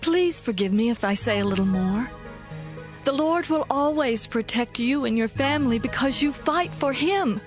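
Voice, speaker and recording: A high female voice acted as a humble, earnest woman of the ancient Bible world. She is deferential and solemn, pleading her case with respect in an audio Bible drama, with music clearly playing behind her. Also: expressive, normal speed, lightly acted.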